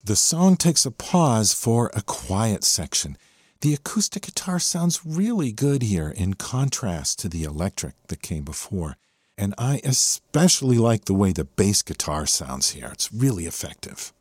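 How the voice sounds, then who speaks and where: Husky, low-voiced man hosting a music podcast. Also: sing-song, unhurried, darkish tone, no room sound, clean studio sound. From USA. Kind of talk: conversational music commentary.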